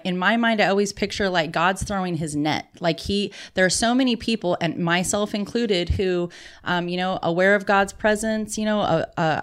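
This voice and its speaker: A woman talking in an earnest, emphatic and wordy way, in an impassioned conversational style with spiritual language. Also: mid-range voice.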